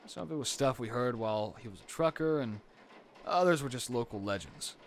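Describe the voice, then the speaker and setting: A man playing a caring, gently teasing young boyfriend in an intimate roleplay audio drama, reassuring his partner with sighs and soft talk. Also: medium pitch, lively intonation, fairly quick, a little hiss or hum, lightly acted. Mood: teasing, reminiscing.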